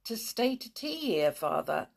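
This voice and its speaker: A woman's voice: mid-range voice, very animated, unhurried, gravelly, pitched above the performer's own voice, full character voice. A woman voicing a headstrong, hot-tempered young tomboy girl, indignant and outspoken, in a children's storybook. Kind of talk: children's adventure storybook reading.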